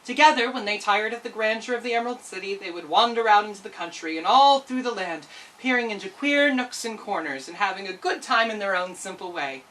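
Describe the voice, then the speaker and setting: Storytime reader: woman, highly expressive children's narration, slightly distant mic.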